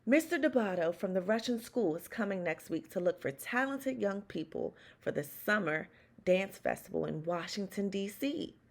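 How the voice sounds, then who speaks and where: A woman plays a lively ballet mistress in a storybook, theatrical and brisk with the pupils. There is a creaky grain to the voice. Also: mid-range voice. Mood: announcing. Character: lively female ballet teacher addressing her young class. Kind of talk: storybook read-aloud.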